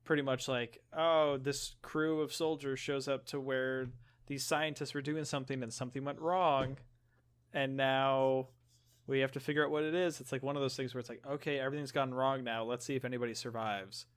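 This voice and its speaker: A man's voice: medium pitch, little pitch movement, a little fast, clean tone. A man hosting a podcast in casual conversation, explaining things in a loose, rambling way and steering the talk along. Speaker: podcast host.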